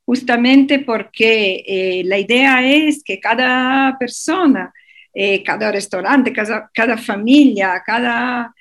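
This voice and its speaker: A woman's voice: fairly high pitch, slow. A woman guest on a radio interview, explaining at length with many hesitations and restarts. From Europe.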